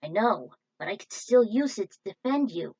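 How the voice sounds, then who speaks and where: A woman's voice: medium pitch, lively intonation, normal speed, warm tone, highs cut off. A woman reading as a loyal, scrappy young male duelist, close to her own everyday voice. He talks in a protective, reassuring way in a storybook reading.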